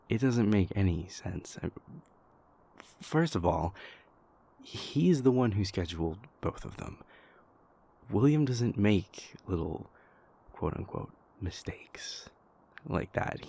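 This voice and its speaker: A man playing a stressed young vampire prince confiding in his lover in an intimate, halting audio roleplay monologue, with a husky, gravelly voice. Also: on the low side, a little mellow, full character voice. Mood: exasperated, incredulous. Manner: halting, hesitant, exasperated, conversational.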